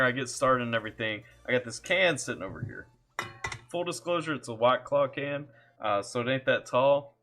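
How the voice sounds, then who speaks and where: A man's voice: mid-range voice, bright, forceful tone. A man from Kentucky with a Southern accent, chatting casually on a live stream, laid-back and jokey, greeting viewers by name. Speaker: music reaction streamer. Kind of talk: casual live-stream chat, reading chat aloud. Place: home computer setup.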